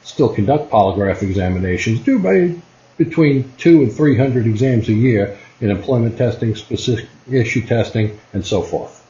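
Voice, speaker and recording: A man's voice: medium pitch, lively intonation, moderate pace, dark tone, lightly acted. A man playing a matter-of-fact retired state trooper giving formal expert testimony in a courtroom readers theater piece. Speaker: expert witness. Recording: dull, roomy lo-fi recording.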